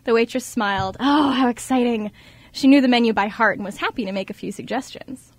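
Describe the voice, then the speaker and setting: A woman's voice: a little high, fairly quick, no echo. A young woman on a student radio show, speaking casually and narrating scripts. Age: young adult. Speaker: board operator and narrator. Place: radio studio.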